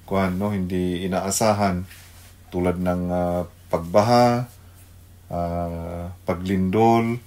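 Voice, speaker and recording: A middle-aged man with a Filipino accent giving an unscripted devotional radio talk, pausing to search for words and mixing two languages. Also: low voice, fairly even intonation, darkish tone. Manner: halting, searching for words, mixes Tagalog and English. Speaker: radio devotional host, pastor.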